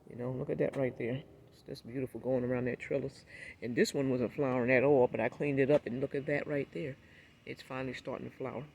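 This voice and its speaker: A man with a raspy, hoarse voice from a cold, giving a laid-back, rambling walkthrough of his backyard garden and talking straight to his viewers. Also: mid-range voice, fairly even intonation, fast, darkish tone, slight background noise, low-quality recording. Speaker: gardening vlogger. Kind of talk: casual walkthrough vlog.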